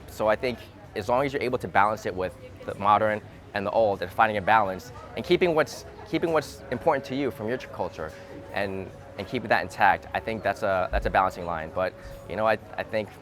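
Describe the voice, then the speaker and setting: A man from the United States talking through his views in a relaxed conversation, hesitant and repeating words as he thinks aloud, recorded outdoors. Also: fairly low pitch, fairly quick, soft background music. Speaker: interviewee. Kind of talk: thoughtful casual conversation. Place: outdoors in a city.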